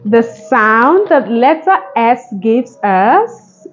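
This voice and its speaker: A woman's voice: on the high side, very animated, drawn-out words, highs cut off, soft background music. A woman hosting a phonics lesson for young children, speaking in an encouraging, sing-song manner with simple repeated instructions. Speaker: phonics teacher and channel host.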